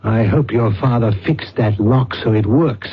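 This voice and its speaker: An actor playing a brisk, scheming con man who gives orders in an old-time radio drama. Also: mid-range voice, close to an everyday voice. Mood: commanding. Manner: brisk, instructing. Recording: dull old radio recording, faint music.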